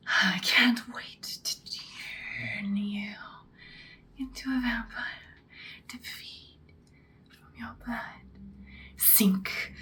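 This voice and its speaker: A woman playing an ancient, powerful female vampire in an ASMR roleplay, whispering breathily in an intimate, calmly menacing, seductive way. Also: on the high side. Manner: slow, deliberate, intimate. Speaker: ASMR performer.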